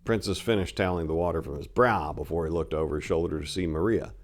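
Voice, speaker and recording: Male storyteller, low pitch, scripted crime fiction narration, dramatic reading. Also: quick pace.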